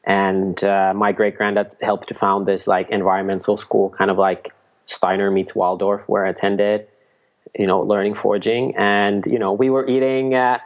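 Low flat-voiced man. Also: normal speed. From Finland. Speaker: guest, mushroom food entrepreneur. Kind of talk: casual interview talk.

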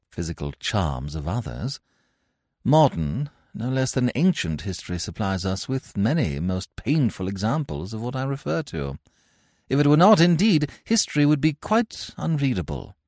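A man's voice: fairly low pitch, wildly swooping pitch, moderate pace, a little mellow, no room sound, studio quality, pitched above the performer's own voice. A man voicing Gwendolen, a haughty young society woman who talks in a stiff, formal, condescending way. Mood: coolly cutting. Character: haughty young society lady, polite but cutting.

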